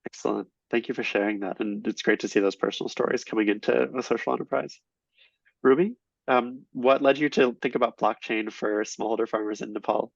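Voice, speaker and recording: A man moderating an online panel, pausing often with hesitant ums as he introduces speakers and asks questions. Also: medium pitch, steady tone, creaky, no echo. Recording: dull lo-fi audio.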